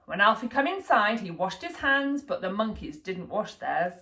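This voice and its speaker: Bright, animated woman reading a storybook. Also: medium pitch. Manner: animated, deliberate storytelling for young children. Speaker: storybook reader, school staff. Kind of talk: expressive children's picture book narration.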